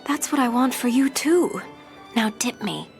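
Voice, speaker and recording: A woman's voice: a little high, lively intonation, measured pace, creaky, faint music behind. An actress playing a confident, seductive female crime boss in a noir audio drama, with a cool, flirtatious, teasing manner.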